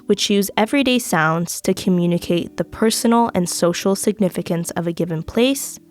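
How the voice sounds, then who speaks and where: A young woman, a student host, reading a scripted podcast introduction in a measured way. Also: mid-range voice. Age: young adult.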